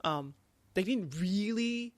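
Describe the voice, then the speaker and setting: Female podcast co-host, conversational and animated, mid-range voice, very dry recording. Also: mid-range voice, takes it slowly, dry recording. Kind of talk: casual book discussion.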